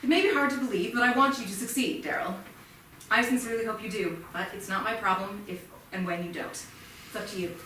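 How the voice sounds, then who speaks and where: Stern unyielding female school principal, officious, measured, stage reading, far from mic, faint noise. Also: mid-range voice, pushed and bright. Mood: cool, dismissive. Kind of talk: live staged play reading. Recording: echoey theatre, distant mic, faint noise.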